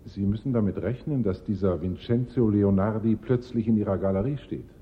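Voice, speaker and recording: Radio drama: man playing measured, careful professor, mid-pitched, phone-like band over faint noise. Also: close to an everyday voice. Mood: cautioning. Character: cautious, non-committal male professor. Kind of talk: German radio play acting.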